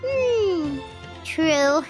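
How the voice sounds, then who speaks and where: A woman's voice: very high voice, expressive, very slow, over music, exaggerated voice. A woman voicing a small, childlike, curious fairy companion in a video game. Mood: curious, puzzled.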